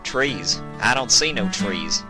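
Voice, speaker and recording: A wary, stubborn young cowgirl pony from a western storybook voiced by a man, pitched well above his narration. She talks in plain rustic phrases and keeps questioning. Music is clearly heard behind. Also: expressive, slow pace. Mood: puzzled. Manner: rustic drawling grammar, questioning. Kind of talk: audiobook-style character acting in a western fan story.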